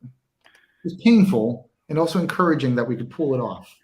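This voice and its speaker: A man's voice: mid-range voice, lively intonation, normal speed, poor audio. An American man in his thirties co-hosting a whisky tasting livestream, chatty and self-mocking, speaking over a video call.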